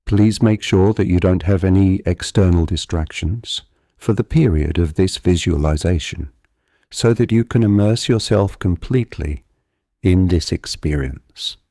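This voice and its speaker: A man narrating a guided visualisation in a gentle, calm and deliberate, soothing hypnotic manner. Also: deep voice, dry recording, clean studio sound.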